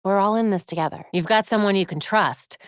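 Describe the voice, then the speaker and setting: A woman playing a sharp, determined young American vigilante heroine in a video game, with a wry, quippy delivery. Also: mid-range voice, a little fast, smooth, dry recording, clean studio sound, natural voice. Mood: thoughtful. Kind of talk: acted video game voice lines.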